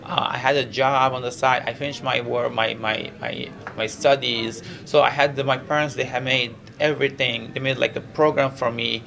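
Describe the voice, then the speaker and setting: Gravelly Moroccan-accented male fighter. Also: medium pitch, steady tone, moderate pace, few pauses, fairly bright tone. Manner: earnest, repetitive, non-native English with frequent 'you know'. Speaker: professional MMA fighter. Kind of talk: press interview, casual chat.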